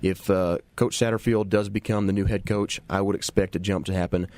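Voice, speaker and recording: A man's voice: deep voice, steady tone, brisk, darkish tone. A man discussing football as an analyst on a sports talk show, in a conversational explanatory way.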